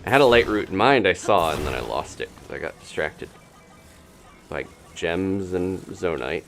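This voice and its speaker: A man's voice: low-pitched, expressive, moderate pace, creaky, fairly bright tone, soft background music. A man giving casual live video game commentary as a streamer, musing to himself and thinking aloud as he plays.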